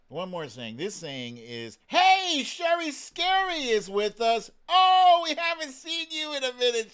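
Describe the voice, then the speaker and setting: A man's voice: very high voice, fairly bright tone, smooth. A man hosting a live stream in casual, playful commentary, chatting to viewers in slangy phrases that he often repeats.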